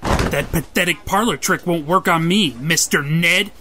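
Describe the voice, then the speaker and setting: Guy doing fed up curt reporter, high lively voice, clicky noisy audio. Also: a little slow. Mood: suspicious. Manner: indignant, curt. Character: suspicious, exasperated mild-mannered reporter, secretly a superhero. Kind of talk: comic-book dub.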